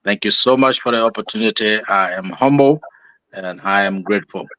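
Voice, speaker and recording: Low-voiced Liberian pastor, dry sound. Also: male voice, normal speed, bright, forceful tone, dry recording, rough recording. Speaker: pastor and political candidate, show guest. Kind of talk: earnest spoken address of thanks and appeal.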